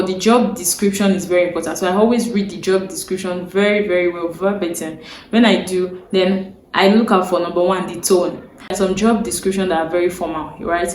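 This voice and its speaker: A woman's voice: mid-range voice, a little mellow, slight background noise. Nigerian woman, young adult, career vlogger; earnest and repetitive, checks in with viewers; roomy echo, faint ambience behind. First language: Yoruba. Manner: earnest, repeats key points, checks in with viewers. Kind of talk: instructional talk to camera.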